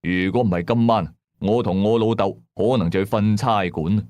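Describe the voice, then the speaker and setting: 一個香港粵語口音嘅男人，用第一身、口語化又戲劇性嘅方式講廣播鬼古。. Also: male voice, lowish voice, normal speed, darkish tone, dry recording. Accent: Hong Kong Cantonese. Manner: dramatic, colloquial. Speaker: radio drama narrator. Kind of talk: first-person ghost story narration.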